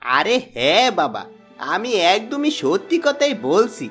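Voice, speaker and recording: A man playing a sly, greedy, boastful village swindler in a cartoon moral story, with an animated, coaxing manner. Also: sits high, a little slow, full character voice. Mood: coaxing flattery. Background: background music.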